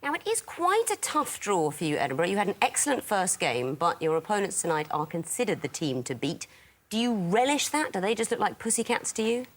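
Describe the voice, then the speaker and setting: A woman's voice: mid-range voice, big pitch swings, brisk. A woman hosting a studio quiz show, delivering scripted lines with a dry, teasing edge. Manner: arch, teasing, crisp delivery. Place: television studio.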